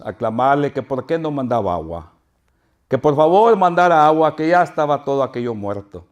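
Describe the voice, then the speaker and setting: An older man with a northern Mexican accent, a pastor preaching and telling a folksy personal story with chuckles. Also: medium pitch. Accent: Mexican Spanish, northern.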